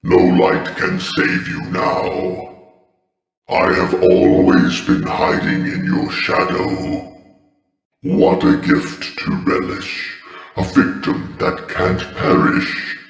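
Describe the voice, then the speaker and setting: A man voicing a huge wrathful nightmare monster in a video game, with a deep, ominous growl. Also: completely flat delivery, slow, creaky, full character voice. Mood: wrathful doom. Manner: ponderous, ominous. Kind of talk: video game villain lines. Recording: cavernous reverb, faint music.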